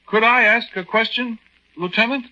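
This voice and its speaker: A man's voice: very high-pitched, moderate pace, pushed and bright, heavily acted. A man playing a meek, earnest young American army private in a 1940s radio drama, hesitant and stammering, confiding his story with folksy exclamations. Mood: bewildered, timid. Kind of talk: 1940s radio drama acting, confiding first-person narration. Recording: dull, band-limited 1940s radio recording.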